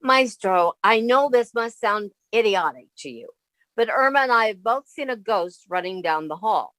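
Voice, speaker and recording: A woman's voice: on the high side, lively intonation, normal speed, a bit bright. A woman playing a sensible, exasperated young roommate in a 1950s-style radio comedy. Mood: anxious.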